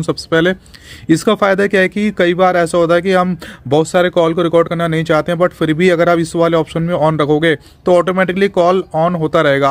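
Higher-pitched man explaining steps. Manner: instructional, repeats steps. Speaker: tech tutorial channel host. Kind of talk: explanatory phone tutorial narration.

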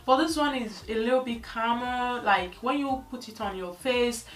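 Relaxed young woman chatting about her makeup routine, her voice a touch high and slightly off-mic. The room adds a clear echo, and she fills her sentences with easy "like"s. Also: normal speed, far from the mic. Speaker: beauty vlogger. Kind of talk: casual makeup tutorial talk. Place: indoors at home, plain room. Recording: roomy echo, a little off-mic.

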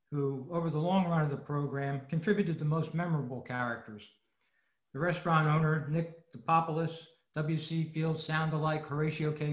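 A man reading a prepared talk as a presenter on a video call, in scripted narration. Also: fairly high pitch. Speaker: old-time radio club presenter. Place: indoor room, video meeting. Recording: echoey room on video call.

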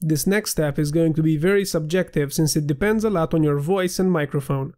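Male tutorial narrator, a touch nasal, higher pitch, clear and steady. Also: brisk. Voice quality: slightly nasal. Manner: clear, steady instructional delivery. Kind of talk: scripted screen-recording tutorial voiceover.

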